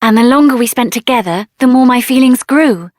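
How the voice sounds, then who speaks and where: An actress voicing a spirited, headstrong young woman adventurer in a video game, expressive and earnest, with a tender side. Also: a little high, a little fast, dry recording, studio quality, natural voice. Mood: tender, happy.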